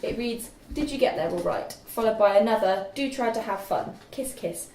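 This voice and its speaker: A woman's voice: mid-range voice, normal speed, a little mellow. Young woman narrating in an echoey room. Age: young adult. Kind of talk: scripted narration, reading screenplay directions aloud. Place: room at a group table read. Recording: distant echoey room, faint noise.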